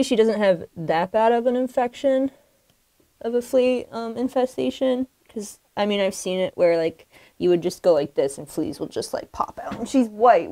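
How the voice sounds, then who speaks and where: A young woman talks casually and bluntly to the camera while she handles a rescued rabbit. Her voice sits in the middle of the usual range, and she swears freely. Between explanations, she drops into soft cooing. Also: mid-range voice, dry recording. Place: outdoors by a house, then indoors in a small room.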